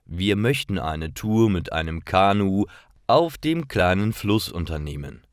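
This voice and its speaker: A man narrating simple scripted stories for language learners, reading carefully in clearly phrased short sentences. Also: deep voice, animated, unhurried, dry recording, studio quality.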